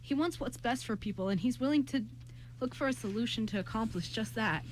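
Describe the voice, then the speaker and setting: A woman voicing an earnest, kind young man in an amateur radio play, with halting delivery. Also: on the high side, slight background noise, close to an everyday voice. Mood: earnest, pleading.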